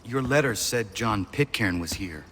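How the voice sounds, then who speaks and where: A stoic young warrior for a video game. His voice is on the low side, his phrasing formal and sparing, with clear background noise. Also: animated. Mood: resolute. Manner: terse, formal, measured. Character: stoic, earnest young male warrior, reserved and resolute. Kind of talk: video game character acting.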